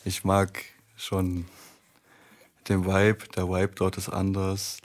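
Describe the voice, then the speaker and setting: A man from Germany, a rapper guest on a radio show, answering in short, relaxed replies. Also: deep voice, slow. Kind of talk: radio interview.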